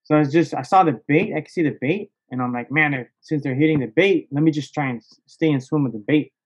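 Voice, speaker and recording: Male podcast guest, higher-pitched, excitable casual storyteller, slangy and sweary, slightly lo-fi recording. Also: fast talker, husky. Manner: slangy, excitable, profanity-laced, peppered with "bro" and "dog".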